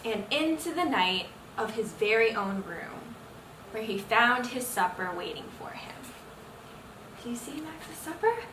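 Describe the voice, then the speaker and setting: A young adult woman reading a picture book aloud to children, expressive and full of engaging questions. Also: fairly high pitch, measured pace. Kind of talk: children's read-aloud storytelling. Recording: roomy echo, distant mic, faint noise.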